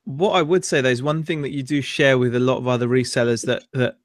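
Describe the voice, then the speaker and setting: A man's voice: mid-range voice, steady tone, fast, no echo, studio quality. A man co-hosting a casual live-stream chat, giggly and self-mocking, with many fillers. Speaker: co-host.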